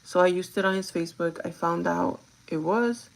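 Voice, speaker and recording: A young woman with a gravelly, groggy voice chats casually and directly to her viewers, giving advice in an informal vlog style. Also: on the low side, moderate pace, poor audio. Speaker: vlogger chatting while doing makeup.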